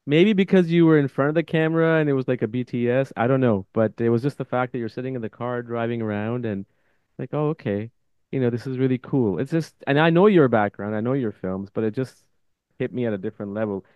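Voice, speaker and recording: A man's voice: medium pitch, fast talker, smooth, no room sound, muffled recording. A man hosting a podcast, chatting casually in an informal, effusive, loosely phrased way. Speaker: podcast host. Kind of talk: casual interview chat.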